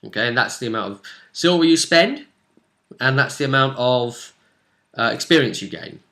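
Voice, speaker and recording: A man's voice: mid-range voice, expressive, normal speed, bright, forceful tone. A man casually recapping a tabletop game as host and game master, acting out quotes as he retells it.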